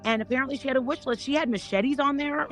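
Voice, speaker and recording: A woman chatting casually as a panel guest, rambling and haltingly repeating her words as she goes. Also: high voice, faint music behind. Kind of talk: casual gossip chat.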